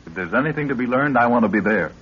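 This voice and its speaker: Very husky young male adventurer, eager and deferential, old radio serial, muffled recording. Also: mid-range voice, animated, rapid-fire, fairly bright tone. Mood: keen. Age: young adult. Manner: earnest, deferential. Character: eager, respectful young male assistant on an expedition.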